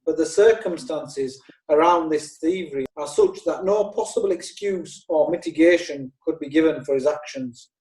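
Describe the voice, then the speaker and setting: A pompous prosecutor, played by a man, laying out his case in a radio play with grand courtroom oratory. His voice sits high but mellow, and it sounds roomy and a little off-mic. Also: distant mic, close to an everyday voice. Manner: formal, theatrical courtroom oratory. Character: pompous male prosecuting lawyer. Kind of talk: radio drama acting.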